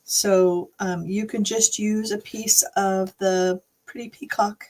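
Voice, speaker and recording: Woman with a cold, slow and chatty. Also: mid-range voice, fairly even intonation, darkish tone. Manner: friendly, chatty, with frequent coughs and throat-clearing. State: recovering from a cold, voice a little off. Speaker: card-making channel host. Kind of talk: casual craft tutorial.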